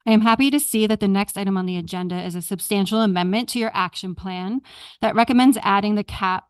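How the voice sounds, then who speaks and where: A woman who directs housing at a nonprofit, making a formal, measured prepared statement to a city council. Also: mid-range voice, steady tone, clear, resonant tone, no echo. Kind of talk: prepared public comment. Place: council chamber.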